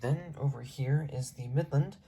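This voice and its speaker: Mellow, rambling hobbyist narrator. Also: medium pitch, normal speed, vocal fry, breathy edge. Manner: rambling, self-correcting. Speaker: hobbyist video creator. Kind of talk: casual unscripted show-and-tell narration. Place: indoors in a room at home. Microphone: handheld camera, speaker behind it.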